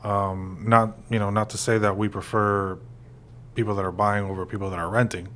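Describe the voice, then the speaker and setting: Casting: forties male co-host, lower pitch, loose rambling delivery with fillers. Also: normal speed, slight background noise. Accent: American. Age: 40s. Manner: relaxed, rambling with many fillers. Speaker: real estate podcast co-host. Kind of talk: casual conversational chat.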